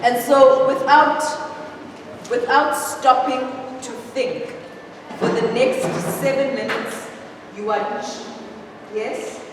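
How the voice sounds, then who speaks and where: A woman's voice: high-pitched, slow pace, long pauses. A woman leading a writing workshop, asking the students questions and mixing in local-language words. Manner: lively teacher, asks questions, mixes in local-language words. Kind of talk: leading a class exercise. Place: school hall. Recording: echoey hall, distant mic, background noise.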